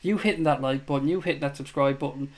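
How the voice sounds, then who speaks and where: A man's voice: mid-range voice, fast. A man hosting a live fan chat show, reading viewer comments aloud and answering them in a blunt, jokey, sweary way.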